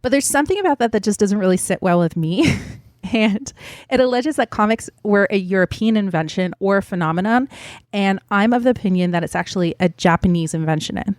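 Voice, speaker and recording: A woman's voice: mid-range voice, brisk, a little mellow, dry recording, clean studio sound. A woman co-hosting a podcast, explaining comic book history in a chatty way with fillers and small laughs. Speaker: podcast co-host. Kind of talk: casual explanatory podcast chat.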